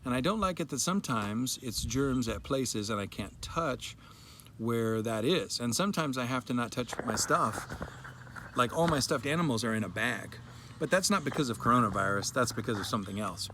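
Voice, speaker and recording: A man's voice: medium pitch, lively intonation, moderate pace, pushed and bright, a little hiss or hum. A man giving a dramatic reading of a child's written complaint, with emphatic, plaintive delivery. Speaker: parent reading a child's story aloud.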